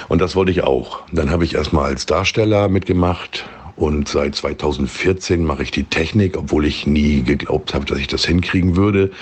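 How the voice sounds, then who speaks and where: A man's voice: a bass voice, husky. A man talking casually in an interview, colloquial and enthusiastic about what he describes. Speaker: volunteer audio drama technician.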